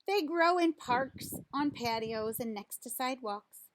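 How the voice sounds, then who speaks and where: High-pitched woman reading slowly to children. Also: animated, slight background noise, low-quality recording. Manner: deliberate, instructive, question-and-answer delivery. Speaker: teacher reading a picture book aloud to children. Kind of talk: read-aloud storybook narration with questions to young listeners.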